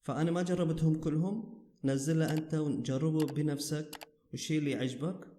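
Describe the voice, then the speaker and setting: A man's voice: fairly high pitch, steady tone, a little fast, warm tone, slight background noise. A man with an Iraqi Arabic accent narrating a casual app tutorial as its host. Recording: roomy, echoing voice recording.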